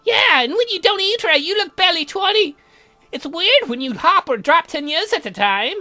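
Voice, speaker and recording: Cheeky, high-pitched potion-brewing uncle played by a woman. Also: big pitch swings, normal speed, sharp tone, faint music behind, exaggerated voice. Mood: cheeky, animated. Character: eccentric, cheeky uncle who brews potions.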